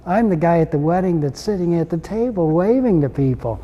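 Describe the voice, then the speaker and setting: An older man in a casual interview, telling stories in a relaxed, chuckling way. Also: on the high side, a little fast, lo-fi sound. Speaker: interviewee, husband.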